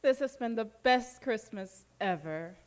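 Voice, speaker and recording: An actress playing a doting, teasing wife who is tender and gently scolding, in a live radio play. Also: female voice, fairly high pitch, lively intonation, measured pace. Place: live stage in a recreation center.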